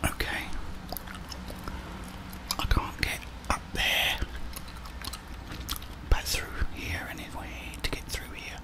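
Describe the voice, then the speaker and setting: A man's voice: takes it slowly, pauses often. A young man hosting an ASMR gaming video, muttering casual commentary to himself in a whisper as he plays.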